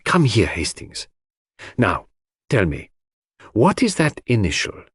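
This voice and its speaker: A man voicing an excitable, precise, self-satisfied male detective in a dramatized mystery audiobook. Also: fairly low pitch, slow, slightly rough, no echo, studio quality, heavily acted. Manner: precise, theatrical, exclamatory. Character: excitable, fastidious French-speaking male detective, pleased with himself.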